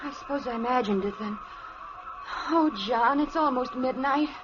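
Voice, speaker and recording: A woman's voice: high voice, moderate pace, natural voice. An actress playing an anxious, pleading woman in an old-time radio drama, emotional and full of exclamation. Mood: worried. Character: anxious, pleading woman trying to calm John. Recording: muffled vintage radio recording with music.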